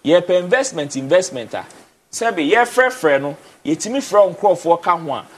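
Young Ghanaian male studio guest, high-pitched, animated, code-switching Twi and English, lapel mic. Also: normal speed. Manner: animated, gestures, mixes Twi and English, repeats words. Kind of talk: casual TV studio discussion. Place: TV studio, indoors.

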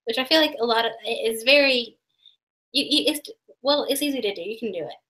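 A woman's voice: on the high side, brisk, bright, forceful tone. A young woman interviewing a friend over a video call, reading out questions with a teasing manner. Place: bedroom. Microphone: webcam mic on a video call.

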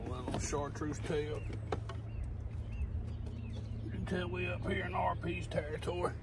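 A man's voice: sits high, steady tone, a little slow, loud music behind the voice. A middle-aged man, chatting folksy to viewers while fishing from a boat on a lake. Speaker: fishing channel host. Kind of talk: casual fishing vlog talk. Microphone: camera on the boat, phone selfie. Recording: loud outdoor noise behind.

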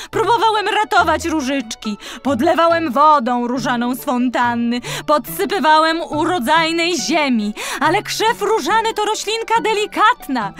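A woman's voice: sits high, a bit bright, pitched above the performer's own voice. A woman voicing a distressed, grumbling male royal gardener who laments in a children's storybook. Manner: lamenting, drawn-out.

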